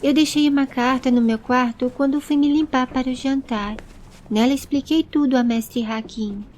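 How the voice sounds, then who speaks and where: A high-voiced young boy in an audio story acted out by a woman. He is nervous and earnest, pleading his case in a plaintive, apologetic way. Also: fairly even intonation, normal speed, a little mellow, lightly acted. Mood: ashamed.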